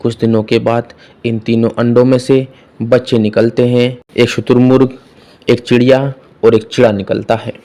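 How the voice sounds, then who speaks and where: A male narrator with a soft, dark timbre and a middling pitch. He reads a children's tale as scripted storytelling. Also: little pitch movement, moderate pace.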